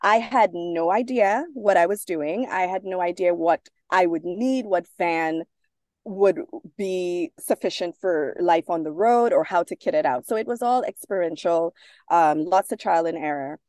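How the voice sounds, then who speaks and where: Woman, lowish pitch, mellow tone, dry close sound, relaxed interview guest. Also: a little slow, no room sound. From Trinidad. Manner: thoughtful, with frequent fillers and restarts. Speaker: guest author. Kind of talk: casual interview talk.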